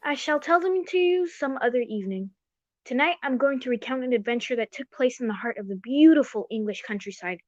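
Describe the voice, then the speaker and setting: A genial, sentimental gentleman sidekick from a radio mystery is played by a woman. The voice is high, with polite, old-fashioned exclamations. It is captured dry and slightly dull. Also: animated, a little fast, no echo. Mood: genial, welcoming. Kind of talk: old-time radio drama acting.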